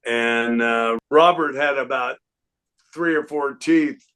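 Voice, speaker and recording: An older man, a guest singer, chatting casually in an unhurried, rambling way with lots of fillers. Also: medium pitch, a bit bright, clean tone. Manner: breaks into sung snippets. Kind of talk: casual interview chat.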